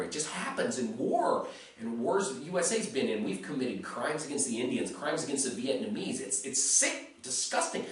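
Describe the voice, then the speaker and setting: A man's voice: mid-range voice, recorded from a distance. An American man rambles bluntly in a casual vlog, cursing freely with a slight buzz from drinking. His voice sits mid-range for a man. It sounds heavily echoey, like he is a few steps back from the mic in a bare room. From USA. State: slightly tipsy. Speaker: vlogger. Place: home in Serbia.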